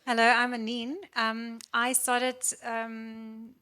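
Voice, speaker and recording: A South African woman, a baroque violinist, explaining her instrument at a festival talk in a relaxed way. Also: on the high side, slow pace, clean tone. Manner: relaxed, explanatory, light laughs.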